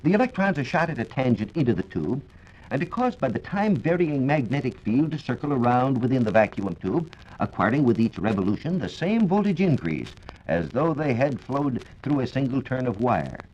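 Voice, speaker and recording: A man's voice: medium pitch, sing-song, brisk, darkish tone, husky. An American man giving a formal, scripted explanation as a science reporter on 1940s radio. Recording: dull, lo-fi 1940s radio recording.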